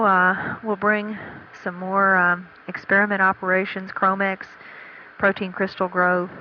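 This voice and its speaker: A woman giving unscripted, matter-of-fact status commentary from mission control in a halting way. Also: fairly low pitch. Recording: dull archival radio feed with faint noise.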